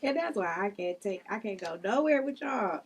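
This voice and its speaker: A woman as a husky, laid-back stoner friend. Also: medium pitch, expressive, normal speed. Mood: playful grumbling. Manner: slangy banter. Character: laid-back, grumbling weed-smoking friend. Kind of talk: script table read acting.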